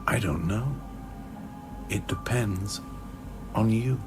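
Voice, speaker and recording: An actor playing a haunted, cagey scientist in a radio play, halting and ominous, with a breathy, half-whispered voice. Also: male voice, lowish voice. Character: haunted, cagey male scientist giving cryptic warnings. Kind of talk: radio drama acting. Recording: music playing behind the voice.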